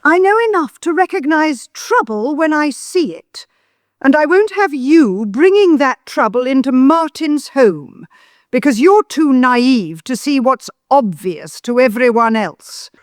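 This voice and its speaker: A woman's voice: high-pitched, lively intonation, a little slow, no echo, clean studio sound. A woman playing a haughty, disapproving older mother-in-law in an audio drama, pompous and full of righteous insinuation. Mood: indignant, defensive.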